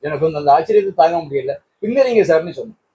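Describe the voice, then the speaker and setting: Fast, high-voiced man, slightly dull recording. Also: lively intonation, mellow. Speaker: guest, collector of vintage radios. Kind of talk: informal testimonial talk. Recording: dull sound with faint background noise.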